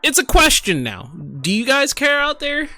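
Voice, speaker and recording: Bright-voiced tipsy man reacting casually. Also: extremely high pitch, huge pitch swings, moderate pace, dry recording, soft background music. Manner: rambling, exclamatory, addresses viewers directly. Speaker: streamer and gaming video host. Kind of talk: casual off-the-cuff reaction commentary.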